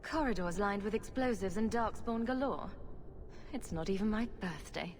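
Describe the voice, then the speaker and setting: A woman's voice: medium pitch, animated, moderate pace, close to an everyday voice. A woman playing a wry, bold female rogue champion in a video game, sarcastic and flippant. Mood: sardonic. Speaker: player character in a fantasy game. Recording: echoey cavern reverb, faint noise.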